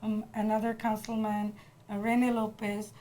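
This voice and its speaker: A woman with a Bangladeshi accent answers interview questions as a political candidate, speaking earnestly and a little haltingly. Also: medium pitch, monotone, warm tone, slight background noise. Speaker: city council candidate being interviewed.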